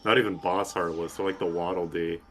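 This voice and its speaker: Low-voiced man, casual gaming banter. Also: fairly even intonation, a little fast, a bit bright. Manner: crude, sweary, joking. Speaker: gaming stream co-commentator. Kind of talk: casual joking game commentary. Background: game music.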